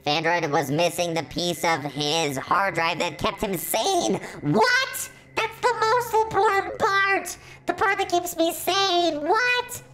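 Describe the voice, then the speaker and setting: A woman voicing an excitable musical robot who reads stories aloud and reacts with dramatic astonishment. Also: fairly low pitch, rough recording. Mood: shocked, indignant. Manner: exclamatory, dramatic, easily astonished. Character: excitable musical robot reading fan fiction about himself. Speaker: channel host. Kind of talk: animated story reading with reactions.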